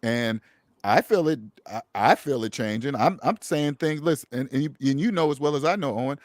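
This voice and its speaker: A man's voice: medium pitch, fairly quick, a little mellow, no echo. A man with a mid-range voice holds forth in a casual talk. He is emphatic and a little preachy, doubling back on his words to drive a point home. The sound is close and dry, with no room around it. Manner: emphatic, preachy, repeats himself for stress. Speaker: podcast host. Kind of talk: casual conversation.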